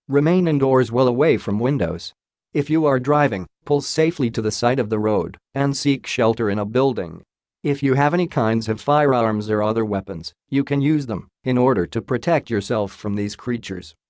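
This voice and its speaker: A man voicing an impersonal emergency alert announcer, reading a formal scripted message with a flat, official delivery. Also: medium pitch, moderate pace, no room sound, close to an everyday voice. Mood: impersonal, grave.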